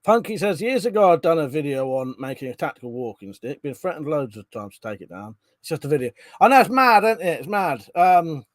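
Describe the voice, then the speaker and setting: A man's voice: a little high, very animated, brisk, few pauses, no room sound, clean studio sound. A man hosting a live stream, reading out viewer comments in a rambling, chatty way, congested from a cold and coughing now and then. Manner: chatty, rambling, frequent ums and restarts.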